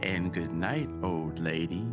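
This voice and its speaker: Male bedtime-story reader, lower-pitched and very unhurried, with swooping melody over clearly audible music. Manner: sing-song, deliberate pauses between short phrases. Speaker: children's read-aloud host. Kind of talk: bedtime picture-book reading.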